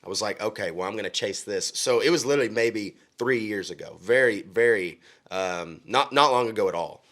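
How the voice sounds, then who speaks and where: A man's voice: fairly low pitch, brisk, a bit bright. A young man from Oklahoma, a country singer, talking earnestly in a casual interview with frequent ums and restarts. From Oklahoma, USA.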